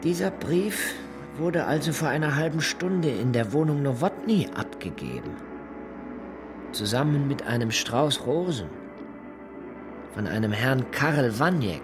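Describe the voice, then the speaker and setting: An actor playing a menacing Gestapo interrogator in a radio play, coldly courteous, with measured, pointed questions. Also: male voice, medium pitch, sing-song, music in the background. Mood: brisk, controlled. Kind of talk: German radio play acting.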